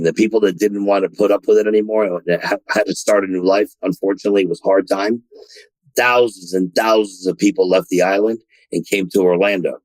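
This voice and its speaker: A man's voice: lowish voice, no room sound, rough recording. A man being interviewed on a podcast about running a car dealership, talking in bursts with many restarts and repeated words. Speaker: dealership general manager, guest.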